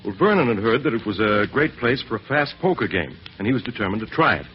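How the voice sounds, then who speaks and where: A man's voice: fairly low pitch, very animated, fast talker. An actor playing a missing man's anxious friend, giving an earnest account to a detective in an old radio drama. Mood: earnest, uneasy. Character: anxious male friend of a missing man, a client. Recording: muffled, noisy vintage radio broadcast.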